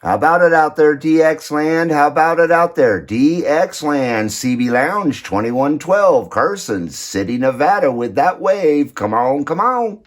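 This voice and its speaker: A man's voice: on the high side, animated, unhurried, few pauses. A man working a CB radio from his base station, stretching out his repeated calls and then pointing out the radio's features. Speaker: CB radio hobbyist. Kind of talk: calling out on CB radio and showing off a radio. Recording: loud radio static behind voice.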